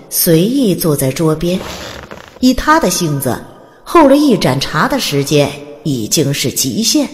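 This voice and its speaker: A woman's voice: low-pitched, long reverb tail, a little hiss or hum. A woman narrating a fantasy audiobook in a dramatic, scripted storytelling style. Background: music.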